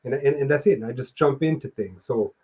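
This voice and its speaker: A young man hosting a live streamed chat, easygoing and rambling, with loose fillers and a casual swear now and then. His voice sits at an ordinary middle pitch for a man, heard over a streaming call mic. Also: brisk, mellow, slightly rough. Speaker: podcast host and musician. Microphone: remote streaming call mic.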